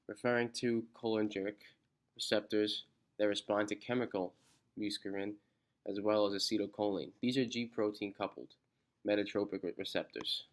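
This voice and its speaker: Man, stuffy congested voice, lowish and flat, reading outline notes, stumbles on jargon. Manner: halting, restarts on technical words. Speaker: student presenter. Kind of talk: reading a study outline aloud.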